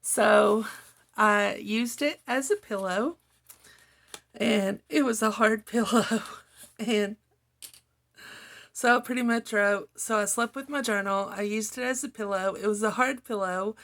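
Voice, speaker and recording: A middle-aged woman hosts a craft vlog in a slow, creaky voice that sounds a little hoarse. She rambles along in a homely, casual way, as if chatting with her viewers. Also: medium pitch, fairly bright tone. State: slightly hoarse. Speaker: host of a craft channel. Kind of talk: casual chat to viewers while journaling, partly reading own notes.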